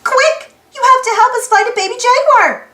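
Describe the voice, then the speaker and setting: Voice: very high-pitched, normal speed, bright, forceful tone, echoey room. A storybook reader with an exclaiming manner, reading aloud for children and prompting young listeners with questions. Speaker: storybook reader and channel host.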